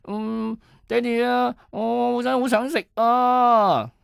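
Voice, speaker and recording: A man's voice: extremely high pitch, slow, creaky, clear, resonant tone, dry recording, clean studio sound, voice pushed up, heavily acted. A man voicing a young child who pleads and whines to a parent in an acted skit. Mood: pleading, eager.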